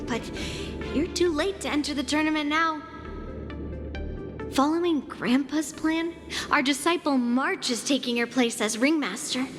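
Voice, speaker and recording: A woman playing a confident, blunt but caring young girl swordswoman in a video game, brisk and commanding. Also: high-pitched. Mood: teasing, smug. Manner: brisk, commanding, self-assured. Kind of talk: video game character acting. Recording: long reverb, music behind.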